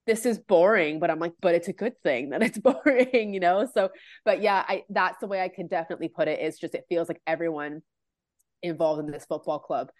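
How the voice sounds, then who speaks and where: A woman's voice: fairly low pitch, brisk, no room sound, studio quality. A woman with a Canadian accent co-hosting a football podcast in a chatty, informal, giggly way. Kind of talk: casual fan chat.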